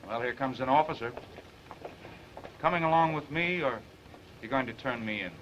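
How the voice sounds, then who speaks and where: Man acting a brash, bright-voiced 1930s film newspaperman. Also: mid-range voice, moderate pace, natural voice. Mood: earnest, coaxing. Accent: American. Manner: brash, slangy, persistent banter. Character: brash, flirtatious broke newspaperman, stubbornly protective. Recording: muffled, hissy 1930s film soundtrack.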